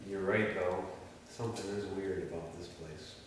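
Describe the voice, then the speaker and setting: Male actor at informal table read, lower register, long reverb, faint noise. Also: fairly even intonation, normal speed. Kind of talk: informal script read-through. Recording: echoey room, distant mic, faint noise.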